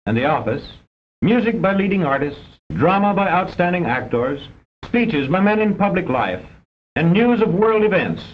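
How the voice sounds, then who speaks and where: High-pitched American man, vintage film narrator. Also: a little hiss or hum. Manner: measured, didactic, precise enunciation. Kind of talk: formal scripted narration of a vintage instructional film. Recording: dull, band-limited old film soundtrack.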